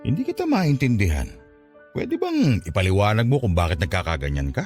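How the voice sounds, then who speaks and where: A man's voice: lowish voice, wildly swooping pitch, a little fast, a little mellow. A Filipino man playing a patient, resigned older father in a radio drama, soothing and forgiving in his manner. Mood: puzzled, gentle. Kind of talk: Tagalog radio drama acting. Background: background music.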